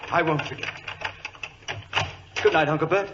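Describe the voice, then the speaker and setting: An actor playing a high-strung, well-bred young government clerk in a 1940s radio drama, polite, excitable and wordy. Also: male voice, moderate pace, natural voice. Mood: brisk, polite. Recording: muffled, noisy vintage radio recording.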